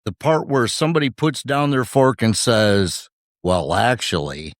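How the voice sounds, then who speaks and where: A man's voice: medium pitch, unhurried, no echo, studio quality. A man co-hosting a podcast, delivering scripted commentary in short, punchy rhetorical phrases with wry humour. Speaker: podcast co-host.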